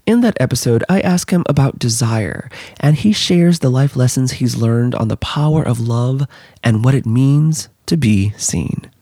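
A male podcast host asks earnest, searching questions and checks in with a frequent "right?". His voice is mid-pitched. The recording is dry and close, with no room sound. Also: lively intonation, unhurried, dark tone, no room sound. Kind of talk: interview and episode introduction.

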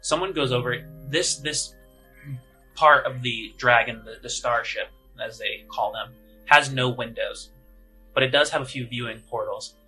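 A man's voice: mid-range voice, measured pace, pushed and bright, soft background music, low-quality recording. A man narrating a tabletop role-playing game as game master in a conversational, descriptive, improvised way.

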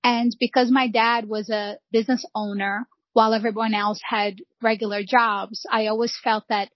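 A woman's voice: fairly high pitch, level tone, normal speed, no echo. A middle-aged woman from Brazil, earnestly telling her life story as a guest on an interview podcast. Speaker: guest, real estate founder. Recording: dull lo-fi, dry sound.

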